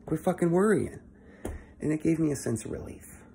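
A young man giving a casual motivational monologue into his phone at home, keeping his voice low and swearing freely. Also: animated, moderate pace, creaky, warm tone, a little hiss or hum. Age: young adult. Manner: talking low and swearing often, earnest. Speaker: vlogger. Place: indoor room at home. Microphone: phone held close at arm's length.

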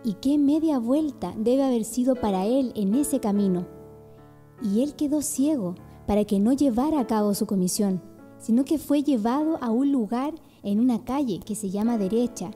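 A woman's voice: a little high, animated, moderate pace, dark tone, soft background music. A woman giving sermon-like expository narration in a reflective way.

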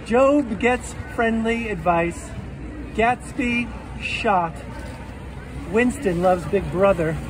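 A man reading his rhymed poems aloud with a deliberate rhythm on an outdoor festival stage. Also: sits high. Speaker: poet. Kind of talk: poetry reading. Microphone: stand mic on stage, filmed by phone. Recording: noisy outdoor phone recording. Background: outdoor street ambience.

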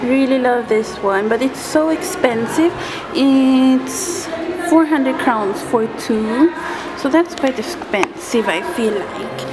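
A woman's voice: sits high, slow, music in the background, poor audio. A young woman vlogging casually on a handheld camera, walking outdoors and through a mall, giggling and dropping in Tagalog words. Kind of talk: casual vlog chat. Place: outdoors on a bridge, then inside a mall and shops. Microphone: handheld camera at arm's length.

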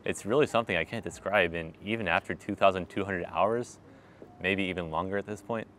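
A young man in his twenties talking straight to the camera in a wry, animated vlog, recorded outdoors on a city street at night with a clip-on mic. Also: low-pitched, fairly quick, slight background noise. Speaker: vlogger. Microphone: small clip-on mic on his shirt.

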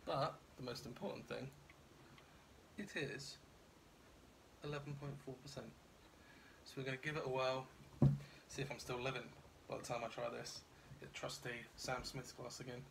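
Man with a gravelly voice. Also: medium pitch, fairly even intonation, normal speed, pauses often, very noisy. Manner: chatty and rambling, laughs at himself. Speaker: beer reviewer. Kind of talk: casual chat to camera. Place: kitchen at home, indoors.

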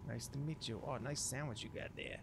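A man's voice: medium pitch, fairly quick, vocal fry, background noise. A man giving casual, improvised gameplay commentary in slangy, grumbling talk with mild minced oaths. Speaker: gamer narrating a playthrough.

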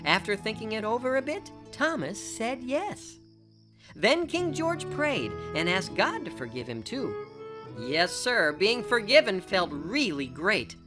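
A man's voice: sits high, very animated, unhurried. A man playing a genial, folksy narrator of a children's cartoon storybook. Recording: music under the narration. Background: music.

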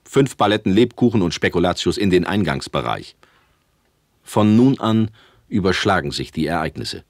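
An actor playing a grave documentary narrator in a radio comedy, solemn, ominous and deadpan with weighty pauses. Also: male voice, sits low, no echo, studio quality. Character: grave male documentary narrator chronicling absurd small-town disasters. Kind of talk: scripted mock-documentary narration.

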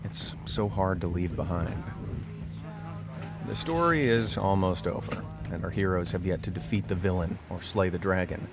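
Calm low male film narrator over music. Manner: calm, wistful, measured. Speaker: voiceover narrator. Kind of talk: reflective scripted narration.

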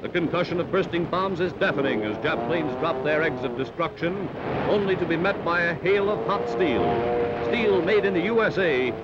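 A man's voice: a little high, animated, normal speed, over loud music. Dramatic American 1940s newsreel man, dull old soundtrack. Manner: dramatic, emphatic delivery. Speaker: newsreel narrator. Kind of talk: 1940s newsreel narration, scripted.

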